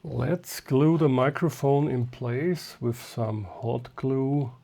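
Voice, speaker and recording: A man with a mid-pitched voice narrates a hands-on repair at a very unhurried pace. He speaks in short, halting phrases, as if half to himself while his hands are busy. The delivery is unscripted, like a hobbyist showing his work step by step. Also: fairly even intonation, creaky, dark tone. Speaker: hobbyist host of radio repair videos.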